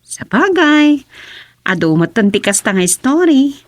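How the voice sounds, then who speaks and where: Nosy townswoman, gossipy and animated, for radio comedy-drama, played by a woman. Close, dry sound. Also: high-pitched, measured pace, no room sound. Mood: curious, gossipy.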